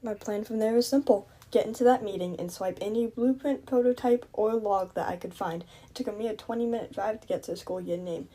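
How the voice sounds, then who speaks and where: A woman's voice: medium pitch, brisk, hardly any pauses, warm tone, natural voice. A woman playing a wry, understated, world-weary private detective who narrates the case in a 1950s-style radio drama. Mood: guarded, casual.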